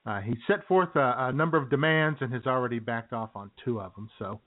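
A man's voice: medium pitch, a little fast. Tinny-sounding wry male radio co-host. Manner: wry, chuckling, repeats phrases. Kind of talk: casual political banter. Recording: tinny, narrow phone-like sound.

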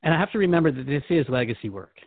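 A man's voice: a little high, lively intonation, racing, creaky, no echo, phone-quality sound. A man hosting a conversational podcast interview, speaking in an earnest, questioning and reflective way. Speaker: host interviewing a guide.

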